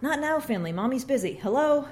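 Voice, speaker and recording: A woman's voice: sits high, lively intonation, a little fast, a little mellow, voice pushed up. A woman reading the lines of a frazzled, stressed young mother.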